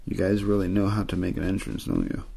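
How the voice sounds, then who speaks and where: Storybook rookie policewoman read by a man: young, eager, lightly playful, low gravelly delivery. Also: sing-song, fast talker, dark tone, full character voice.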